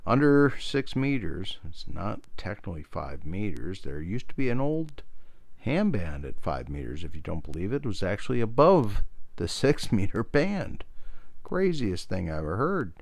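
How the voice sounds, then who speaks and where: A man's voice: lowish voice, very animated, moderate pace, gravelly, no echo. A middle-aged American man talking casually, meandering through hobby explanations and anecdotes in an informal, self-deprecating way. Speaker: hobbyist radio video presenter.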